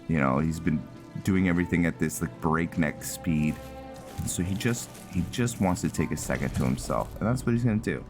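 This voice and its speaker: A man giving casual roleplay gameplay commentary, thinking aloud in a halting way as he goes. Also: deep voice. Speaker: gaming channel host.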